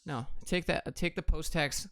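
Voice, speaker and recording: A man's voice: on the high side, a little slow, a bit bright, no room sound, clean studio sound. An American man hosting a casual livestream, reading out questions and answering them in a blunt, clipped, offhand way. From Atlanta, Georgia, United States. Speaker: livestream host.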